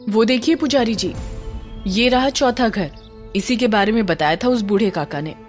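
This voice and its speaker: A woman's voice: on the high side, moderate pace, soft background music, lightly acted. A woman voicing a courteous, eager young man with questions in an audio drama. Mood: polite, searching. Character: polite, eager young village man on a search.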